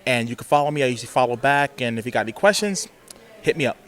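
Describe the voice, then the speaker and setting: A male wrestling interviewee with a medium-pitched voice. He speaks casually and earnestly, circling back and rewording his phrases as he goes. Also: animated, fast talker, a bit bright. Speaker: pro wrestler being interviewed.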